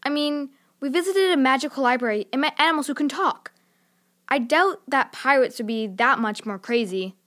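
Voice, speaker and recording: Young girl, high voice, sensible, earnest, adventurous, children's audio drama. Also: expressive, moderate pace, fairly bright tone. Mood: reasoning.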